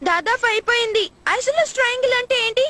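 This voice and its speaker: A woman voicing an excitable young boy, a loyal best friend, in a Telugu cartoon dub. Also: very high voice, fast talker. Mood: upbeat. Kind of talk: Telugu-dubbed cartoon acting. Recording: loud music behind the dialogue.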